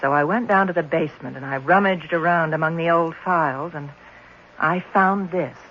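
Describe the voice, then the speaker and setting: A woman's voice: low-pitched, moderate pace, a bit bright. An earnest orphanage administrator in a vintage radio drama. She sounds worried and polite, explaining with careful hesitations. The old recording is muffled and faintly noisy. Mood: anxious, explaining.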